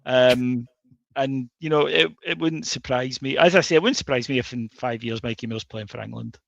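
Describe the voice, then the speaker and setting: Gravelly Scottish man, dull dry sound. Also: mid-range voice, normal speed, no echo. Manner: hesitant, many fillers and restarts. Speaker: podcast co-host. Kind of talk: casual football chat.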